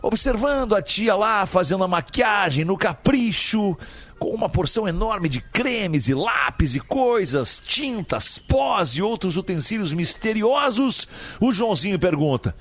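Man, high voice, lively pitch, storytelling joke narration, casual. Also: a little slow. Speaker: joke teller on a live stream.

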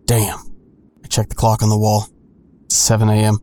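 A man playing a grown son who narrates an audio drama in a sardonic, colloquial, deadpan way. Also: fairly low pitch, moderate pace, a little hiss or hum, close to an everyday voice. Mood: wry, reflective. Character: wry adult son narrating his own hard-boiled story. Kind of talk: first-person audio drama narration with dialogue.

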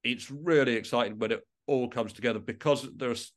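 A man's voice: mid-range voice, fairly quick. Informal, enthusiastic man in conversation. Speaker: guest, musician and composer. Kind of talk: casual podcast interview chat.

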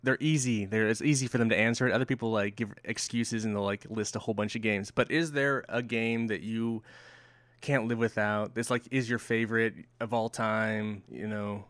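A man's voice: fairly low pitch, steady tone, moderate pace, fairly bright tone, dry recording. Casual male podcast host. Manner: friendly, rambling questions with fillers.

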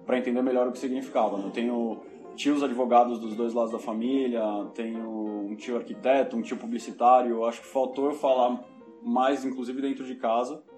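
A man's voice: medium pitch, fairly even intonation, fairly quick, a little mellow, soft background music. A young man from São Paulo with a Paulista Brazilian Portuguese accent, reflecting as a guest in a casual podcast interview, often restarting his sentences. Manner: reflective, self-correcting, many restarts. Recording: roomy echo.

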